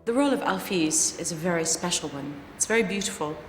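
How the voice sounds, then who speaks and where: A woman's voice: on the low side, animated, fast talker, loud music behind the voice. A woman opera singer describing her role in an interview, thoughtful and articulate. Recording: echoey hall, faint noise.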